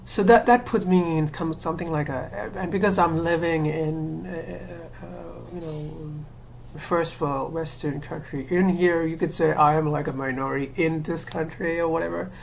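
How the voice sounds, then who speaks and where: A woman with a low, deep voice speaks to her camera in a loose, thinking-aloud monologue. She hedges and restarts often, leaning on "um" and "you know". A faint hiss sits under the home recording. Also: steady tone, normal speed, creaky, hardly any pauses, darkish tone. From Sri Lanka. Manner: hesitant, many fillers and restarts, laughs self-consciously. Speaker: vlogger. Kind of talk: casual personal monologue.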